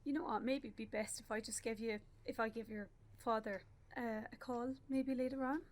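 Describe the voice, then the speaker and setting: A woman's voice: fairly high pitch, steady tone, normal speed, natural voice. An actress playing a grieving, timid young mother in a radio play, faltering and apologetic. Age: young adult. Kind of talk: naturalistic radio play acting.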